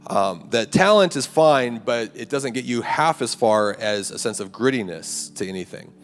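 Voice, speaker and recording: A man preaching a conversational sermon to a campus ministry, his voice raspy and a little hoarse. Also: medium pitch, expressive, normal speed. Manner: conversational preaching with rhetorical "right?" and "like". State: hoarse, clearing throat. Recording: live hall recording, long reverb, faint music.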